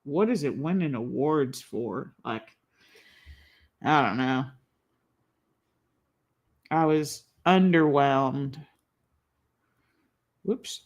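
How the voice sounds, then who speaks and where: A woman vlogger chatting casually on a live stream, rambling and laughing often, a little congested. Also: sits low. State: slightly congested. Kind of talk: casual live-stream chat while building a toy.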